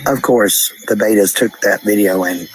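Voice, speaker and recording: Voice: sits low, normal speed, breathy edge, poor audio. A person ranting casually in a blunt, coarse, profane way, heard on a played-back voice clip.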